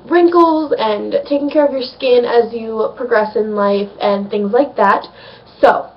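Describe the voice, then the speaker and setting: A woman's voice: fairly high pitch, far from the mic, lo-fi sound. A young woman with a slight cold, chatting casually through a skincare vlog and rambling with plenty of ums. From United States. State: sniffly from a cold. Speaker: beauty vlogger.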